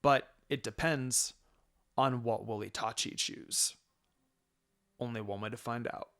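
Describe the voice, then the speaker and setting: A man's voice: medium pitch, a little slow, fairly bright tone. A man narrating a fan fiction story, reading scripted prose aloud. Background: music.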